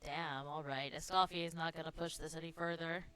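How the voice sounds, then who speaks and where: A woman's voice: low-pitched, steady tone, a little fast. A woman game streamer giving casual reaction commentary in short, offhand interjections. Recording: music behind.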